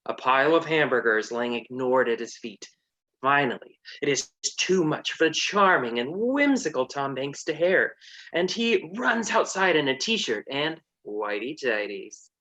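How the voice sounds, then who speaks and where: A man's voice: on the high side, fairly bright tone. A man narrating a comedy story in a dry way, with hesitant asides.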